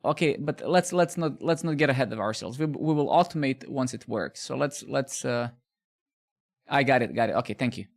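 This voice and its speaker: Gravelly man. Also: mid-range voice, expressive, normal speed. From Bosnia. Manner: chatty, restarts phrases, answers viewers' questions. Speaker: live coding streamer. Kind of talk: casual live-stream chat while coding.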